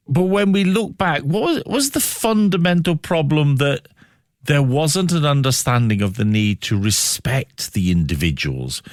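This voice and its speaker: Male radio host with lively intonation. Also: mid-range voice, no echo, studio quality. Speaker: radio interviewer. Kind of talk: thoughtful scripted-sounding radio interview introduction and questions.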